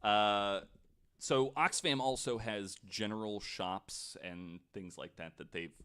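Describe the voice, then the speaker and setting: A man with a fairly low voice hosts a podcast, explaining researched material in conversational style. His delivery is halting and informal, as if talking to friends across a table. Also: a bit bright, smooth. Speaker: podcast host presenting a researched story. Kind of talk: casual explanatory podcast talk.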